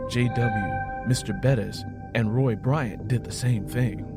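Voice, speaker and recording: Male story narrator over clear music. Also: medium pitch, slow pace. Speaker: author and narrator. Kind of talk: dramatic scripted story narration.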